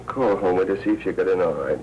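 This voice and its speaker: A man's voice: low voice, quick pace, dull audio, lightly acted. An American actor playing a tough, curt newspaperman in an old film noir crime drama. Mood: curt, impatient. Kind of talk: 1940s film noir acting. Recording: noisy old 1940s film soundtrack.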